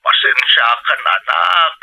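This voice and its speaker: A man's voice: on the high side, normal speed, creaky, muffled recording. A man with a Filipino accent playing an older father in a radio drama, coaxing and persuasive as he asks for things. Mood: coaxing, pleading. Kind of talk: Ilocano radio drama acting.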